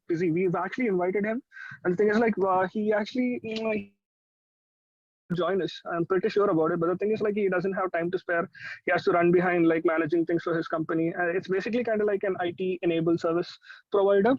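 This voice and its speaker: A man's voice: high-pitched, fairly even intonation, fast, darkish tone, no echo. A man in his twenties with an Indian accent, chatting casually as a musician guest in an interview in a loose, hedging way. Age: 20s. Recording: dry, dull lo-fi call sound.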